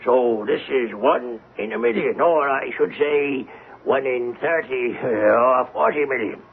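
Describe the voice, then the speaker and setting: A man acting in a 1970s radio drama as an affluent, polite, excitable coin collector, speaking genteelly with frequent hems and haws. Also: medium pitch, measured pace, creaky. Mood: fascinated, explaining. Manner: hemming, chuckling, genteel speech. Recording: noisy, phone-like old radio recording.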